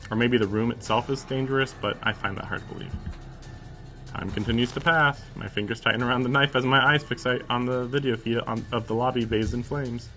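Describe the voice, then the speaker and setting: A man's voice: medium pitch, steady tone, a little fast, bright, forceful tone. A man live-streaming a visual novel, reading the narration aloud and breaking into casual, incredulous commentary with some swearing. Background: game music.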